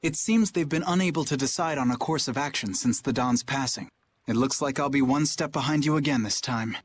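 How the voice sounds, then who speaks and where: A man's voice: mid-range voice, a little hiss or hum, natural voice. A man playing an earnest, formal young knight. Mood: measured. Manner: polite, measured, serious. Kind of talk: video game voice acting.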